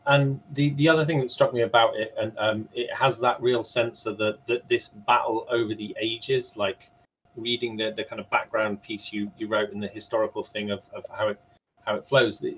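A man's voice: fairly low pitch, a bit bright, slightly rough. A man moderating a panel casually, repeating words as he feels his way into questions. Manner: halting, repeating words while framing questions. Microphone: video call. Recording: dull lo-fi video-call audio.

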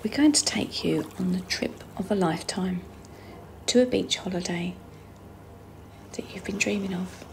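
A woman leading a guided visualisation meditation in a soft, breathy, half-whispered voice with slow, unhurried phrasing. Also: on the low side. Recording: clearly noisy, trickling water ambience.

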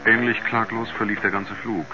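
An actor playing a writer soberly recounting his astronaut friend's story in a 1970s radio drama, in a measured, grave manner. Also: on the low side, flat delivery, highs cut off, close to an everyday voice. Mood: sober, foreboding. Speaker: narrator. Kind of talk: 1970s German radio play narration. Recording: band-limited 1970s radio recording with music.

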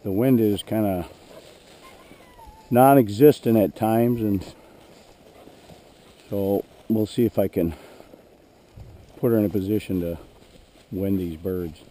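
A man with a hoarse voice, narrating plainly as he trains a dog in an open field, recorded on a head-mounted action camera. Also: lowish voice, slow pace, pauses often, mellow. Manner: plain, matter-of-fact, clears throat often. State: hoarse, losing his voice. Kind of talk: narrating a hunt while commanding a dog. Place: open grassy field outdoors.

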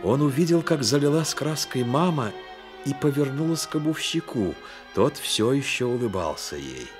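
A man playing the grave, attentive storyteller in a radio drama, narrating in an expressive, deliberate way. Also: medium pitch, over music, natural voice.

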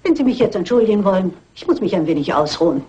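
Old lady sleuth, very raspy, courteous, shrewd, dull recording, crime radio play. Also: mid-range voice, big pitch swings, quick pace, dark tone. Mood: polite, shrewd. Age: older.

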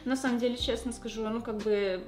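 A young woman chats quickly and candidly to a close camera in a small room. Her voice sits a little high, and her talk is full of slang and fillers as she does her makeup. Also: steady tone, darkish tone. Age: young adult. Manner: talks while doing her makeup. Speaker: vlogger. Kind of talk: casual confessional chat to camera.

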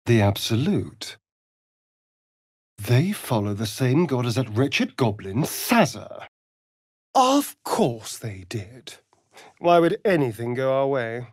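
Theatrical British vampire rogue, swooping pitch. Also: male voice, very animated, slow pace, long pauses, darkish tone, no echo, clean studio sound. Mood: bitter. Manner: theatrical, arch, drawling delivery. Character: vain, sardonic aristocratic male vampire rogue. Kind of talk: video game character acting.

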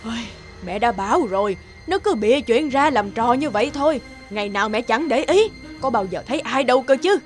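A woman's voice: a little high, animated, a little fast, hardly any pauses, faint music behind, lightly acted. Woman playing a grumbling old grandmother. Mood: scolding. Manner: grumbling, scolding. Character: scolding, dismissive old grandmother. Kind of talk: cartoon voice acting.

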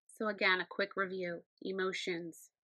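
A middle-aged woman, a teacher giving sign language instruction in measured, step-by-step explanations. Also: medium pitch, level tone, pushed and bright, no echo. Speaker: teacher of the deaf. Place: small indoor room against a plain backdrop.

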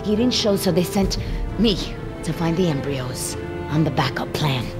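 A woman's voice: deep voice, lively intonation, moderate pace, music in the background. An actress playing a tough, guarded female smuggler in a video game, curt, cool and scornful. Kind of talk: video game cutscene acting.

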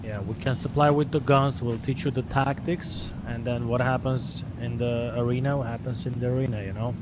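Mid-pitched man, noisy game voice chat. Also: level tone, brisk. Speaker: fellow player guiding a newcomer. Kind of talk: casual online game roleplay chat.

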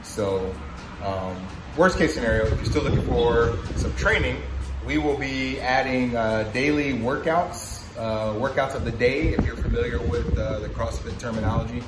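A man in his thirties talking casually to a phone camera held at arm's length, relaxed and conversational with many fillers. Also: medium pitch, moderate pace, noisy background. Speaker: fitness vlogger. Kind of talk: casual vlog. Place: outdoors on a city street, then indoors at home. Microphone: phone held at arm's length, wireless earbuds.

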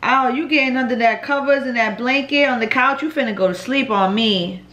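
A woman's voice: a little fast, fairly bright tone. Blunt, foul-mouthed young woman vlogger. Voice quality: deep voice. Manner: blunt, profane, laid-back with laughs. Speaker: vlogger answering viewer questions. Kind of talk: casual Q&A vlog. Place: living room at home, indoors.

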